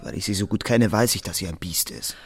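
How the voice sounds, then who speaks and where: An actor playing an anxious, impulsive young husband and hard-up clerk in a German radio drama, earnest and easily agitated. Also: male voice, low voice, fast, creaky. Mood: bitter. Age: young adult.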